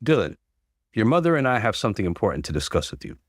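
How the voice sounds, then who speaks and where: A man voicing a stern, insistent father in a story, speaking with forceful authority. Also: fairly low pitch, very animated, quick pace, dry recording, studio quality. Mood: serious. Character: stern, insistent father pressuring his grown son.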